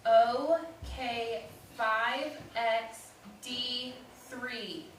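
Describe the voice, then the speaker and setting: A woman's voice: on the high side, steady tone. A woman reading the stage role of an exasperated caller who over-enunciates and repeats herself to an automated phone menu. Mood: deliberate, strained patience. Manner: slow, deliberate, over-enunciated repetition. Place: indoor staged reading in a room. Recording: roomy, distant stage pickup, faint noise.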